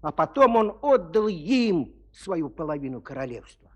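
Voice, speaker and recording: Smooth, lively fairy-tale storyteller. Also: male voice, a little high, a little slow, exaggerated voice. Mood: confiding, playful. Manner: confiding, rhetorical questions. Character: folksy storyteller addressing children. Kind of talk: theatrical fairy-tale narration.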